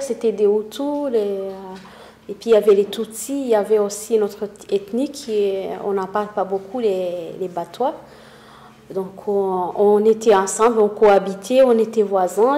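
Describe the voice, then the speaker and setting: A woman in her fifties from Rwanda, giving her testimony in a halting way, pausing often to search for words. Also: mid-range voice, mellow, roomy sound. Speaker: interviewee, witness. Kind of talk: spoken testimony.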